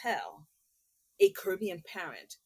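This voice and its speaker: Low-voiced woman telling her story. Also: big pitch swings, measured pace, breathy edge. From Haiti. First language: French. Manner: candid, personal storytelling. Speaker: guest artist. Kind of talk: casual interview.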